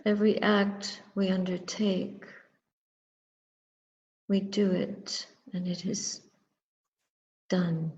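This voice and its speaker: Very slow, mellow woman guiding meditation. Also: medium pitch, little pitch movement. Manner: contemplative, measured, short phrases with long pauses. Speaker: Buddhist nun and meditation teacher. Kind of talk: guided meditation and dharma talk. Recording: muffled recording with long reverberant echo.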